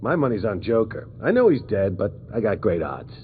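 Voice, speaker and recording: Lively, cynical grunt. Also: male voice, lowish voice, a little fast, slight background noise. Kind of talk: video game dialogue. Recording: muffled phone-like audio, music behind.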